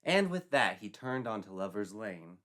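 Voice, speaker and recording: Bright, forceful male storyteller, theatrical. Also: fairly low pitch, big pitch swings, normal speed. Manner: mock-dramatic, arch. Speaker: storyteller, narrator. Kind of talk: theatrical comic scripted narration.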